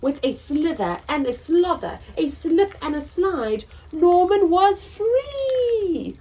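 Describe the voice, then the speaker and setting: A woman hosting a children's story time, reading a picture book aloud in an expressive, sing-song way for small children. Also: very high voice, slow pace, a little hiss or hum.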